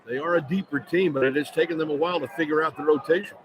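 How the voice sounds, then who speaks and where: A man's voice: on the high side, fairly even intonation, rapid-fire, crowd noise. A man doing live play-by-play radio commentary of basketball, describing the action in clipped, running phrases. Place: indoor sports arena, courtside. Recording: loud arena noise behind. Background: loud ambient arena noise.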